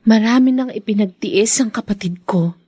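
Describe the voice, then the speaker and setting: A woman's voice: medium pitch, normal speed, dark tone, breathy edge, dry recording, clean studio sound, natural voice. A woman with a Filipino accent playing a proud, affectionate sister and daughter in a radio drama. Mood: fond, hopeful.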